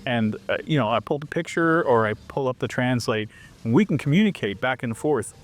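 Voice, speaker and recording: Man, mid-pitched, animated guest telling stories in a casual interview. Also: fairly quick, faint music behind. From Canada. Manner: animated storyteller who acts out quoted exchanges. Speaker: interview guest, foreigner living abroad.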